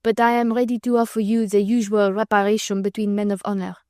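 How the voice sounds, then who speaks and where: A woman's voice: mid-range voice, little pitch movement, fast, a little mellow, smooth, no echo, clean studio sound, close to an everyday voice. A woman doing a fiery young French vicomte. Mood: earnest. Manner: earnest, broken English. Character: hot-tempered young French nobleman, man, proud.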